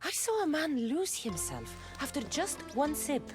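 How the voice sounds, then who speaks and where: An actress playing a blunt, questioning young warrior heroine in a video game, with a direct, wry way of talking. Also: female voice, high voice, huge pitch swings, unhurried, full character voice. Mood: guarded, concerned. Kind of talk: scripted video game dialogue. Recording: music behind the voice.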